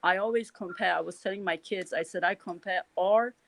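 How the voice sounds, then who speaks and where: A woman's voice: fairly low pitch, a little fast, gravelly, a bit bright, no room sound. A middle-aged woman from Iran with a Persian accent, speaking her views in a casual, earnest way, full of restarts and "you know". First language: Farsi. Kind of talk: casual spoken reflection.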